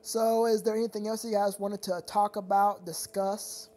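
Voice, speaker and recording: A livestream host chatting casually with viewers in a halting, repetitive way, often addressing them as sir. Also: mid-range voice, flat delivery, darkish tone, a little hiss or hum.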